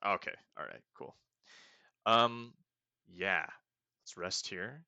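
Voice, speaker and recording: A man's voice: low voice, expressive, slow pace, creaky, sharp tone, dry recording. A man casually commenting as he plays a game, chatty and self-mocking as he thinks aloud. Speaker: let's play host.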